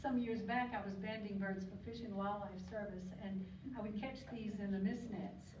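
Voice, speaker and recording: An older woman giving an informal slide talk at a podium, chatty and digressive, with frequent ums and throat clearing. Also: medium pitch, fairly even intonation, moderate pace, darkish tone. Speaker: guest speaker on local birds. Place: lecture hall. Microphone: podium mic, recorded by a far camera. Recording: distant echoey hall recording, muffled, noisy.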